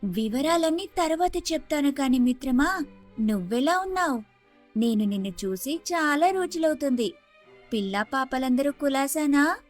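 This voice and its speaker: A woman voicing a sharp-tongued, aggrieved young Telugu wife in an animated moral story. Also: high voice, normal speed, faint music behind. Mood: friendly.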